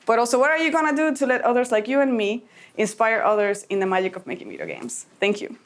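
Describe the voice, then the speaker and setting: Earnest Puerto Rican woman speaker, higher voice. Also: lively intonation, fast, heavy background noise. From Puerto Rico. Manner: earnest, encouraging, a little emotional. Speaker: conference speaker. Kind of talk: keynote talk to an audience.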